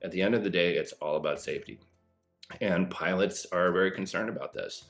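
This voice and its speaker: A middle-aged man, a former pilot, explaining airport safety in a measured way with pauses and fillers. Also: sits low, a bit bright, soft background music. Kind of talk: explanatory news interview commentary.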